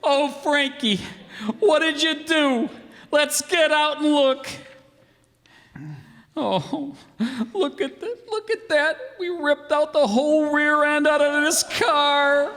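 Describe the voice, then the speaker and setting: A man's voice: very high-pitched, fairly even intonation, slow pace, fairly bright tone, heavily acted. A man playing a cocky, bossy, bumbling schemer with a brash, exasperated manner in an old-time radio comedy. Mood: dismayed. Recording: live hall stage, long reverb.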